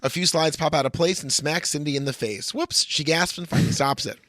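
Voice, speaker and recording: A man's voice: a little high, a little fast, sharp tone, dry recording. A man co-hosting a comedy podcast, bantering and giving dramatic readings of a screenplay in a bossy, sarcastic, mock-earnest way. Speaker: podcast co-host.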